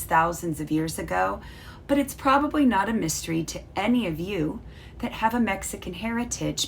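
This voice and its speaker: A woman with a slightly low voice reads and explains to children like a teacher. Her delivery is deliberate and instructive, stretching words for emphasis, over faint background noise. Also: gravelly. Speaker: teacher reading to pupils. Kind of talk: storybook read-aloud and explanation for children.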